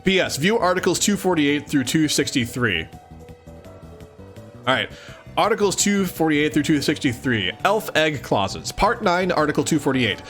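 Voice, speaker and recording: Male streamer, higher-leaning and very animated, mock-solemn when reading, offhand in asides. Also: unhurried, soft background music. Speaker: streamer reading a webcomic aloud for the first time. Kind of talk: live let's-read with chat asides and dramatic reading.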